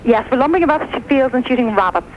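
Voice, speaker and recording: A woman caller with a Dublin Irish accent and a high voice, chatting earnestly and a little hesitantly. She comes over a dull phone line with faint noise. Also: animated, racing. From Dublin, Ireland. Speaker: caller to a radio show. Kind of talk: casual phone chat. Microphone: telephone. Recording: muffled noisy phone line.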